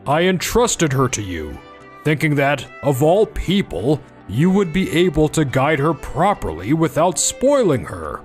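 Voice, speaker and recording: An actor playing a grave, middle-aged company president and father in a manga dub, formal and measured. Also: a little high, big pitch swings, faint music behind. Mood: stern, disappointed.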